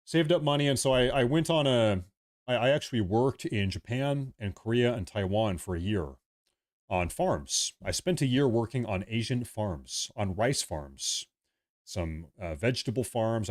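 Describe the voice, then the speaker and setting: A young man hosting a casual livestream, rambling and repeating himself as he talks to his viewers. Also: lowish voice. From United States. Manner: rambling, repeats himself, reads chat aloud. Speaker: livestream host.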